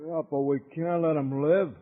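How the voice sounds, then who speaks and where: An American man playing a dim, violent, excitable outlaw henchman in a radio western, eager and blustering. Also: fairly high pitch, normal speed, natural voice. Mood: eager, agitated. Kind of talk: 1950s radio serial acting. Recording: dull, reverberant old radio recording.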